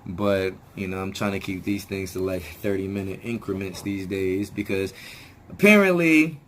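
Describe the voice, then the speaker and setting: A man talking straight to his viewers in a rambling self-help vlog, often restarting words and swearing casually, his voice still hoarse as it comes back. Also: deep voice, moderate pace, fairly bright tone, a little hiss or hum. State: hoarse, regaining lost voice. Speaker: video channel host.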